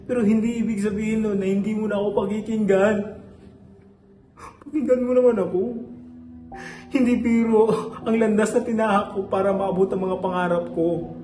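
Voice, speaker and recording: A man's voice: high voice, fairly even intonation, normal speed, pauses often, close to an everyday voice. A young man playing a frightened, overworked male student who earnestly pleads to be heard in a student stage play. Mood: pleading. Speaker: student character. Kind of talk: amateur stage acting. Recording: echoey room with loud background music.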